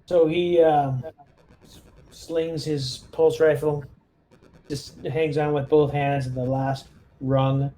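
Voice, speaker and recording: A man's voice: a little high, little pitch movement, takes it slowly, pauses often, mellow, low-quality recording. A man playing a tabletop roleplaying game, casually narrating his character's moves and chatting at the table.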